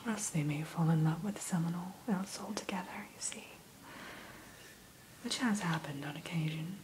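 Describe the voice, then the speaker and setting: A woman whispering softly and slowly into a close microphone, hosting an ASMR roleplay as a dragon hatchery keeper and telling the listener about each dragon. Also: low-pitched, noisy background. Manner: soft, slow unvoiced whisper; gentle, storytelling tone addressing the listener.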